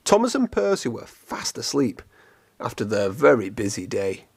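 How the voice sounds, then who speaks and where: A man reading a children's storybook aloud in an expressive, clearly phrased storytelling manner. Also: mid-range voice, normal speed. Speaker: storybook reader.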